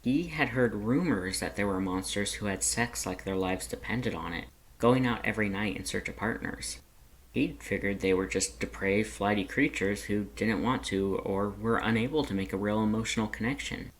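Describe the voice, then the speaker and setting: A narrator reading scripted fan fiction aloud in an expressive, dramatic way. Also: low voice, normal speed, poor audio.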